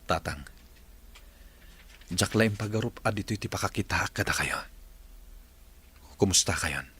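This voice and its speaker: A very deep-voiced grown son acted by a man speaks with an Ilocano accent in a radio-style family drama. He comes across as earnest and devoted to his parents. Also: measured pace, fairly bright tone, slightly rough, heavily acted. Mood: pleading. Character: earnest adult son reconciling with long-lost parents.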